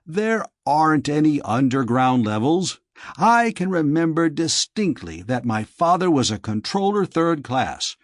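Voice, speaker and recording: A man's voice: a little high, big pitch swings, measured pace, dry recording, clean studio sound. A man voicing a stubborn, contradicting male exile in a science fiction audiobook. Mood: insistent, wistful. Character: stubborn male exile sure of his idyllic memories.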